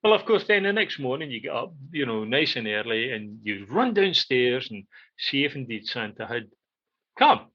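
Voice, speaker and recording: An older man with a Scottish accent casually reminiscing and telling stories in an animated way, repeating phrases for emphasis. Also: medium pitch, moderate pace. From Scotland. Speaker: podcast co-host. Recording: dull, muffled lo-fi audio.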